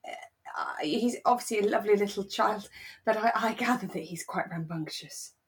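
A woman co-hosting a podcast, talking in a relaxed, conversational review style. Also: medium pitch, moderate pace. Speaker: podcast co-host. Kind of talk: relaxed chat reviewing a radio drama.

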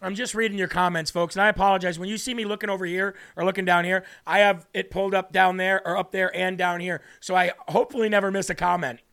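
A man with a raspy voice hosting a faith livestream, preaching and reading viewer comments aloud, often repeating phrases for emphasis. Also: high-pitched, steady tone, fast, hardly any pauses, a bit bright. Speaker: host of a faith livestream show.

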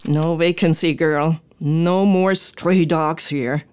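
A woman's voice: deep voice, steady tone, slow pace, darkish tone, clear, resonant tone. An actress playing a hostile, protective frontier woman of the 1890s in a period drama, curt and scornful. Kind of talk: period film drama acting.

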